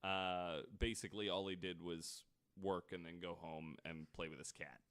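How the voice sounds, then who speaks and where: A man's voice: sits low, steady tone, moderate pace, a bit bright, smooth. A man hosting a podcast, telling stories in a casual, informal and joking way. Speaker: podcast host. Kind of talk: casual conversational storytelling.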